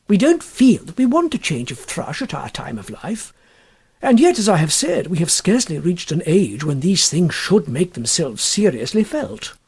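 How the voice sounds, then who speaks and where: A man voicing a prim, fretful, middle-aged gentleman in a storybook reading, speaking with fussy precision. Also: sits high, sing-song, moderate pace, slightly rough, full character voice. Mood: mildly aggrieved. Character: fussy, staid, easily upset middle-aged English gentleman.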